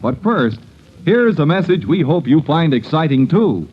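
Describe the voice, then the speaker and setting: A man's voice: fairly high pitch, sing-song, measured pace, background noise. A man announcing and narrating a radio drama in a dramatic, scripted 1940s style. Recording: dull old radio recording with music.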